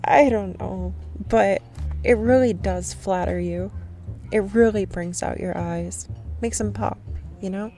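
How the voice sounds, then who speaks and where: A woman's voice: medium pitch, a little slow, warm tone, soft background music, lightly acted. A woman playing a shy, nervous young woman stranger at a cafe in an ASMR roleplay, rambling and second-guessing herself. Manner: rambling, self-conscious, overthinking.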